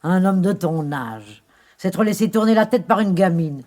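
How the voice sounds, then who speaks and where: An actress playing a fussing, reproachful sister of about sixty in a French radio play. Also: female voice, on the low side, animated, measured pace, darkish tone. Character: nagging, possessive sixty-year-old older sister.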